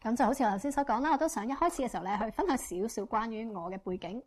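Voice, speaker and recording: A woman interprets a church testimony phrase by phrase and keeps up with the speaker. She talks fast and fluently, with frequent fillers. Her voice is a little higher than most women's. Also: darkish tone. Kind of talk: consecutive interpretation.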